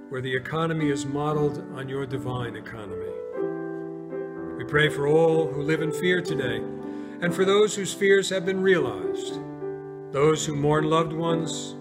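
An American man in his sixties, a pastor preaching and praying in a solemn, measured way with pauses between short phrases. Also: a little high. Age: 60s. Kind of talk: sermon, scripture reading and prayer. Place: inside a church, near the altar.

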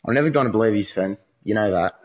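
A man's voice: lowish voice, fast, lightly acted. A man playing a hardened, skeptical police interrogator in a modern radio play, speaking bluntly and insistently.

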